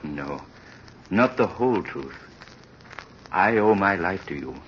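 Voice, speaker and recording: An earnest young husband in an old radio drama. His voice is very low and swings widely with theatrical feeling. The recording is dull, with faint noise. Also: animated, slow pace, a bit bright, full character voice. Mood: urgent, grateful. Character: earnest, grateful young husband and son-in-law. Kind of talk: 1930s radio drama acting.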